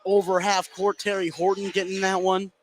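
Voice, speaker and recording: A man doing live play-by-play radio commentary of a high school basketball game, with excitable delivery. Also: moderate pace. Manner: excitable, voice swinging up on big plays. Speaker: play-by-play sports announcer. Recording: clearly noisy live gym broadcast. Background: gymnasium game noise.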